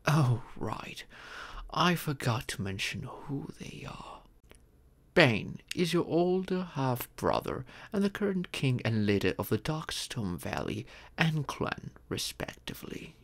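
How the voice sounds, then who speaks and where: A man plays an immortal old dragon father in an ASMR roleplay. The voice crackles with gravelly fry and moves slowly, with extremely animated rises and falls. He speaks formally, like a patient, fond teacher who can be stern. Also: medium pitch, full character voice. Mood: calm, instructive. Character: ancient immortal male dragon, former king, doting stern father. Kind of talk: ASMR roleplay, scripted monologue.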